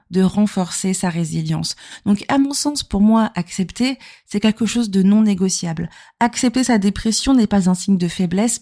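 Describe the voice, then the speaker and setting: A woman's voice: mid-range voice, darkish tone. A woman from Normandy, talking informally as a podcast host and therapist, explaining things conversationally and addressing the listener directly. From Normandy, France. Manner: conversational, explanatory, punctuated with rhetorical questions.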